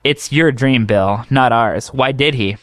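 A man's voice: mid-range voice, measured pace, fairly bright tone, dry recording, close to an everyday voice. A man voicing a pompous, skeptical male doctor with a dismissive manner.

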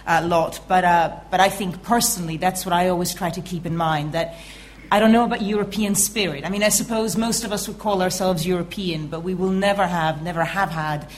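Woman from italy, low voice, journalist on a panel, measured, faint noise. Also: fairly even intonation. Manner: personal, measured, trailing off quietly. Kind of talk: conference panel discussion.

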